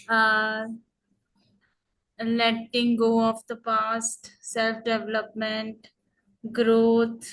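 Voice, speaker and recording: Hesitant middle-aged woman, flat monotonous delivery, video-call sound. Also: a little high, rough recording. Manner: halting, many fillers and pauses. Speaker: workshop participant. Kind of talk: hesitant personal sharing in online class.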